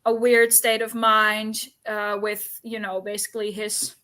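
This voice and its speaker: A woman's voice: medium pitch, little pitch movement, unhurried, pushed and bright. A woman hosting a casual book discussion, speaking in a tentative, reflective way.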